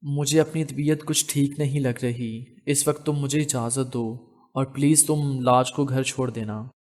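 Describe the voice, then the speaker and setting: A man voicing a serious, troubled and reserved young man in an audio novel, speaking gravely and reluctantly. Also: mid-range voice, steady tone, unhurried, darkish tone, lightly acted. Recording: long hall reverb on voice.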